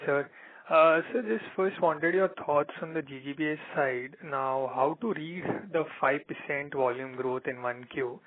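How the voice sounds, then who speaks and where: A man's voice: medium pitch, no room sound. An Indian-accented man, an analyst, politely asking questions on an earnings call. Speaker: analyst calling in. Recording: dry, narrow phone line.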